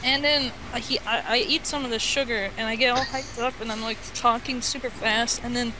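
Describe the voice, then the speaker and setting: High-pitched woman rambling through a story, noisy recording. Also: fairly even intonation. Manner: rambling, halting, acts out quoted dialogue. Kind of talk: casual improvised storytelling over a game chat. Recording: noisy amateur voice chat.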